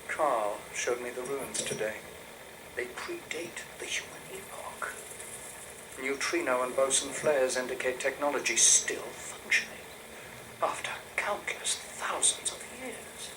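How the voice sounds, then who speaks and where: A man playing an earnest, awed researcher in a game audio log, with a hushed, breathy, measured delivery. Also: mid-range voice, noisy background, lightly acted. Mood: quiet awe. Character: earnest, awed researcher logging alien ruins.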